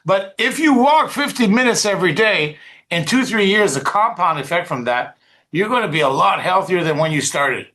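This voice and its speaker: Bright, forceful, higher-pitched man hosting. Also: animated, normal speed. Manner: insistent, conversational, repeats points and checks in with "right?". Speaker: online team call host. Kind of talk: unscripted motivational talk.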